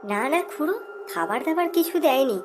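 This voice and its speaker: A woman's voice: high voice, sing-song, a little fast. A woman voicing an earnest, helpful young village man in a children's cartoon. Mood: friendly. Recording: loud music behind the voice.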